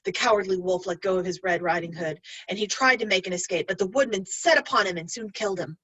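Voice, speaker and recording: A woman's voice: medium pitch, quick pace, a bit bright, slightly rough, no echo, lo-fi sound. A woman reading a children's story aloud expressively, like a teacher addressing pupils.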